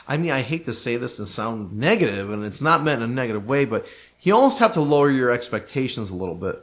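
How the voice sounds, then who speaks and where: A man with a medium-pitched voice talks casually as a podcast co-host. He is emphatic and opinionated, speaking like someone handing out practical advice. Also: sing-song, quick pace. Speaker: podcast co-host, recording engineer.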